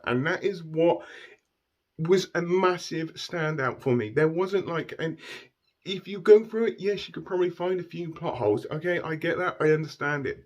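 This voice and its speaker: A man with a croaky voice giving an enthusiastic casual movie review, repeating words and often tagging "okay?" onto his sentences. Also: fairly high pitch, darkish tone. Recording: dull lo-fi recording.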